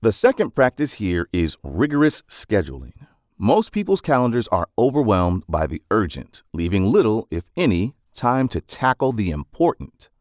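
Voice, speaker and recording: A man reading scripted nonfiction narration in a measured, instructive manner, as for an audiobook summary. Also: fairly low pitch, darkish tone, dry recording, studio quality.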